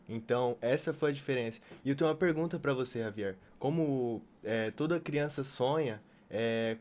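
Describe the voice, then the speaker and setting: A young man co-hosting a casual podcast, speaking haltingly as he searches for words. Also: medium pitch, darkish tone. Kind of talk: casual conversation.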